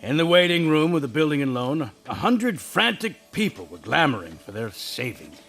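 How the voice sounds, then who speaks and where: An actor playing a calm, patient senior angel who narrates a radio play. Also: male voice, fairly high pitch. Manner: measured, storytelling.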